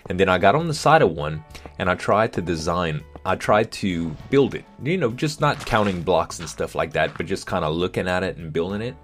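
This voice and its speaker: A young man with a deep voice, casually answering viewer questions for his gaming channel, relaxed and hesitant with many ums.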